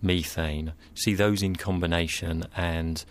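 Very deep-voiced man explaining science. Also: steady tone, unhurried. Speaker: scientist guest. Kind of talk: explaining in an interview.

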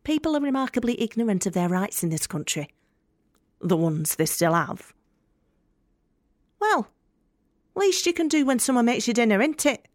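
A woman's voice: fairly high pitch, sing-song, moderate pace, pauses often, dry recording. Blunt, wry Yorkshire woman, close and dry. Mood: touched. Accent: Northern English, Yorkshire. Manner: blunt, wry, sweary, confiding. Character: tough, guarded young working woman from Leeds, wry. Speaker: actress in an audio drama. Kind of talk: conversational dramatic monologue.

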